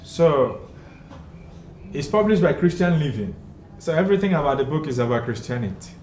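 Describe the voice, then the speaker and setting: A man's voice: on the high side, animated, normal speed, darkish tone. A man hosting a casual studio interview, pressing his guest with teasing, challenging questions. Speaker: talk show host. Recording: echoey studio with background noise.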